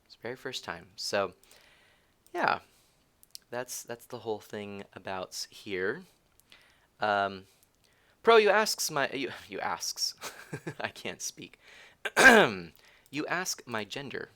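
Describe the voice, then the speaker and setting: Giggly streamer with a bright, lively voice. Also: male voice, mid-range voice, slow pace, long pauses. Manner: giggly and teasing, thinks aloud; rambling with frequent restarts. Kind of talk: casual live gameplay streaming, chatting with viewers.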